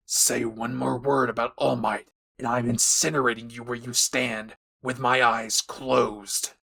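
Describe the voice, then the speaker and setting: Give a gravelly, mid-pitched read of a short-tempered anime hero played by a man. He is blunt and sarcastic, with menace under every line. It is an audio roleplay, so keep the gruffness steady. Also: unhurried, heavily acted. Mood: menacing.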